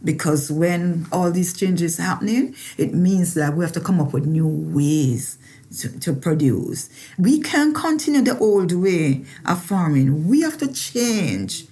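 A woman's voice: fairly low pitch, very animated, measured pace, dark tone. A woman from Jamaica with a Jamaican accent, a farmer and researcher talking personally in an interview and repeating phrases for emphasis.

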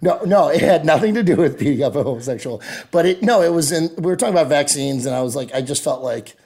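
A man's voice: fairly high pitch, quick pace, slightly rough. A man co-hosting a podcast, chatting casually and telling jokey stories with much laughter. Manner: joking, animated storytelling. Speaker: podcast co-host. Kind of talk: casual banter.

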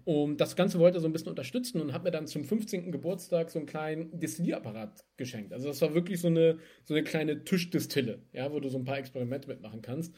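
A lively young man from Germany talks about his background as a guest in an interview, in a casual, chatty way. His voice sits a little higher than most men's, and he laughs now and then while he tells his stories. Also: fast, few pauses, slightly rough. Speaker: guest, spirits entrepreneur.

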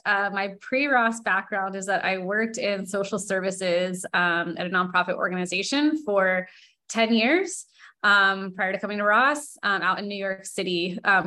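A woman's voice: mid-range voice, bright, forceful tone. A woman in her thirties speaking as a podcast guest in a casual, conversational way, with many fillers and light laughs. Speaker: podcast guest and board member.

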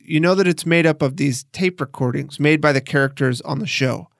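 A man's voice: on the high side, creaky, no room sound, clean studio sound. An American man hosting an audio documentary, narrating conversationally and talking directly to the listener. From United States. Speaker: podcast host.

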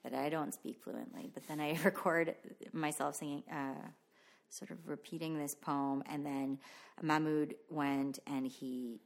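A woman's voice: low-pitched, fairly even intonation, a little slow, gravelly. A woman talking casually and reflectively about her own work as an interview guest. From Canada. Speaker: interview guest, visual artist.

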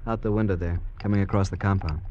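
An actor playing a carefree, scornful young convict in love, with theatrical, emphatic delivery in an old radio drama. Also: male voice, low-pitched, steady tone, fast, muffled recording, a little hiss or hum, natural voice. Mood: apologetic, easygoing. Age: young adult. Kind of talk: 1940s radio drama acting.

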